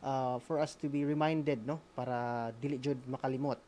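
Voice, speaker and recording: A woman with a Filipino accent and Cebuano as her native language, making casual, halting announcements that mix languages as co-host of an online meeting. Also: extremely low pitch, fairly even intonation, mellow, a little hiss or hum.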